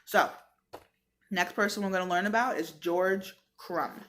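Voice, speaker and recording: A woman's voice: lowish voice, unhurried, fairly bright tone, a little hiss or hum. An American woman reading a book aloud like a teacher, with casual explanatory asides spoken directly to her listeners in a conversational, chatty way. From New York state, United States.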